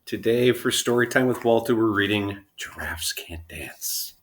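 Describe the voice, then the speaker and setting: A man reading a rhyming picture book aloud in an expressive storytelling style. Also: lowish voice, measured pace, a little hiss or hum. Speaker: storybook reader. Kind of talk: expressive children's story narration.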